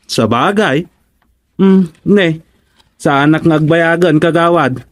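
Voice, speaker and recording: A man's voice: fairly high pitch, takes it slowly, dry recording. A man with an Ilocano accent acting a polite, concerned village councilor in a comedy radio drama. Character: polite, concerned male fellow village councilor paying a visit.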